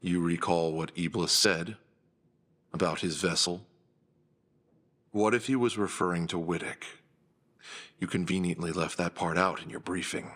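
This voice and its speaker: A man acting a cold, commanding crime boss in an audio drama, measured and imperious. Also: sits low, fairly bright tone. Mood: probing, ominous. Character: cold, commanding male former syndicate boss.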